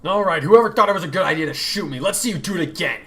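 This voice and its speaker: A man voicing an angry young mage-swordsman who shouts furiously. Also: high-pitched, expressive, a little fast, vocal fry, voice pushed up, heavily acted.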